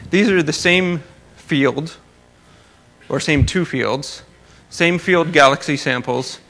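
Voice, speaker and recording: A man's voice: a little high, slow, a bit bright, poor audio. A man giving an informal research lecture, explaining technical material with careful hedges and wry, dry asides. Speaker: astronomer giving a research talk.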